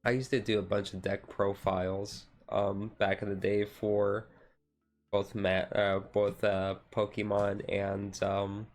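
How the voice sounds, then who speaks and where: A man with a fairly low voice talks casually to his stream. His delivery stays flat and unhurried in melody, wandering from thought to thought. He is quick to put himself down in an offhand way. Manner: rambling, self-deprecating. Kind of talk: casual live-stream chat while sorting cards.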